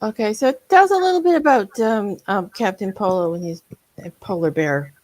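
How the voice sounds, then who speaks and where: A woman's voice: medium pitch, lively intonation, fairly quick, darkish tone, no room sound. A woman hosts a live online book chat and asks short questions with some fillers. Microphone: video call.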